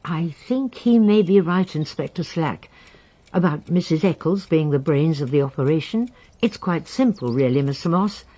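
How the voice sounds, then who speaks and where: An elderly English amateur sleuth in a radio drama. Her low voice swoops expressively with a slightly husky edge, and she is composed, genteel and drily exact. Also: animated, moderate pace, dark tone. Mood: calmly explaining. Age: older. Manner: genteel, dry, precise. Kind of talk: British radio drama acting.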